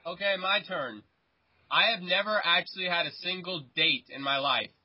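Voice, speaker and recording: Young man, 19, fairly high voice, husky, stammering, muffled lo-fi sound. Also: moderate pace. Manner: hesitant, stammering restarts. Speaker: podcast host. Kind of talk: casual chat among friends.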